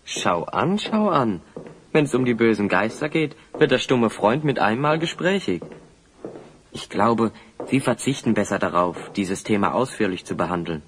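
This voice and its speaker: A man playing a cheeky, plain-spoken adventurer with a husky voice and a breezy, mocking way in a fantasy audio play. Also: lowish voice, sing-song, normal speed, a little hiss or hum. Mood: teasing.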